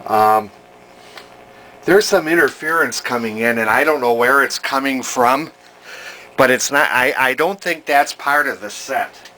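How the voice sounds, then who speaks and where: An older man giving a casual, unscripted hobbyist demonstration, talking in a folksy, halting way as he thinks aloud. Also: medium pitch, pushed and bright, a little hiss or hum, low-quality recording. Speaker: hobbyist demonstrating a vintage television he repairs.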